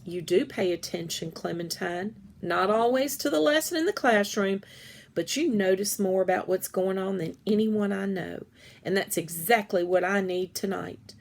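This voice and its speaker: A woman's voice: medium pitch, lively intonation, moderate pace, gravelly. Female school principal read by a woman, children's storybook: assured, firm, encouraging, decisive.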